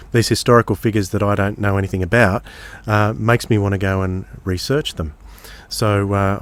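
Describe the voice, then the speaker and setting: A man with a fairly low voice hosts a relaxed podcast interview. He builds his questions haltingly, in a conversational way.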